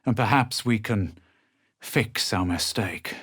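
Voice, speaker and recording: A man playing a self-assured, aloof adventurer hero in a video game, speaking with measured, dramatic delivery. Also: fairly low pitch, fairly bright tone, slightly rough, full character voice. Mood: self-assured, musing. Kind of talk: video game voice lines, scripted narration and barks.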